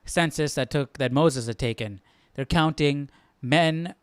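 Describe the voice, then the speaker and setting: A man's voice: on the high side, unhurried, smooth, no echo, clean studio sound. A man hosting a live Bible study, commenting casually and thinking aloud, often checking himself with a questioning tag. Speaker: host.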